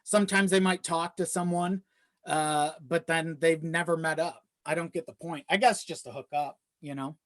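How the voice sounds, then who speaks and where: A man's voice: on the high side, steady tone, normal speed, fairly bright tone, dry recording. A man chatting as a guest on a podcast, recorded dry and close with little room sound. His talk is loose and conversational, with swearing and a self-deprecating streak. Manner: casual, profane. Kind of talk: casual chat.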